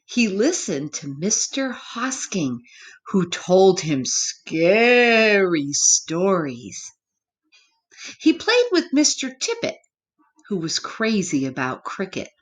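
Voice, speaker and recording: A woman storyteller reading a picture book to children, speaking simply and expressively, with gentle questioning asides to young listeners. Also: mid-range voice, huge pitch swings, slow.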